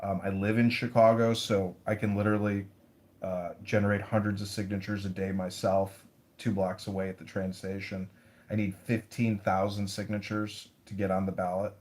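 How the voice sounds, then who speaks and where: A man's voice: on the low side, little pitch movement, moderate pace, darkish tone. A man running for office, talking casually in an interview, blunt and rambling, with the odd swear word. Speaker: mayoral candidate.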